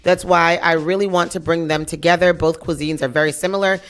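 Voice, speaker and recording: A woman's voice: fairly low pitch, steady tone, a little fast. A woman hosting a morning radio talk show, chatting and reading local news aloud with asides. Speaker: radio host.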